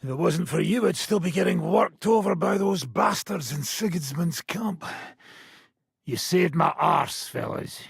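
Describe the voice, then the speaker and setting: An actor playing a foul-mouthed, swaggering mercenary gang leader in a video game, brash and sardonic, with a raspy, gravelly voice. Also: male voice, high voice, animated, a little slow, no echo, studio quality, full character voice. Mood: gruff banter. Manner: brash, sardonic, commanding, swearing freely. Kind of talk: game dialogue, coarse medieval banter and battle shouts.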